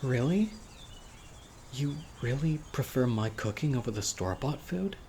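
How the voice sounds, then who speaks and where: A man playing a gentle, eager, critically ill young man with a secret obsessive streak on a date, in an audio roleplay, speaking hesitantly and softly with muttered asides. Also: mid-range voice, lively intonation, a little slow, slight background noise. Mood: eager, shyly tender. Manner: hesitant, soft-spoken, with muttered whispered asides. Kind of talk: roleplay audio drama, intimate boyfriend monologue. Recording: outdoor nature ambience, birds and insects. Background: birdsong, crickets and insects.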